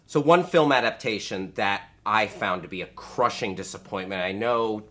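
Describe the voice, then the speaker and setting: A man's voice: on the low side, normal speed, a bit bright, soft background music. A man hosting a panel discussion with a playful, theatrical manner. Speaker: host.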